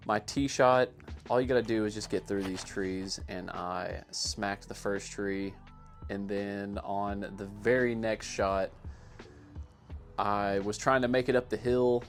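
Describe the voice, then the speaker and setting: Low-pitched man narrating sports highlights over music. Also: steady tone, a little slow. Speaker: amateur disc golfer narrating own highlights. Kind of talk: casual voice-over commentary.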